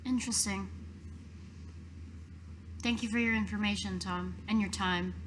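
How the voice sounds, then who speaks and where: A woman's voice: medium pitch, steady tone, measured pace, a little hiss or hum. A woman playing in a tabletop roleplay, speaking briefly in character.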